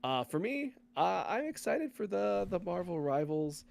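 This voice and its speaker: A man's voice: high voice, big pitch swings, a little slow, vocal fry. A man hosting a gaming podcast, chatting casually. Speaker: podcast host.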